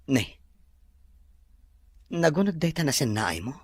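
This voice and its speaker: A man playing a devoted groom in an audio drama, calm and reassuring. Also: mid-range voice, measured pace, no echo.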